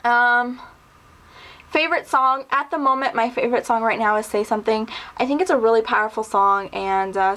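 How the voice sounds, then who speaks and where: A woman's voice: mid-range voice, a bit bright. A woman in her twenties chatting casually to camera, rambling through a list of questions with lots of fillers. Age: 20s. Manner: rambling, chatty, lots of ums and little laughs. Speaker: vlogger. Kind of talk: casual tag video answering questions.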